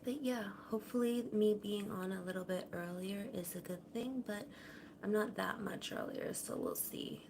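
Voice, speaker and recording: A woman hosting a casual livestream from her home kitchen, talking softly and rambling, her sentences often trailing off quietly. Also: mid-range voice, normal speed, creaky, noisy background. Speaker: livestream host. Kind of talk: casual chat to viewers. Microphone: camera on a tripod.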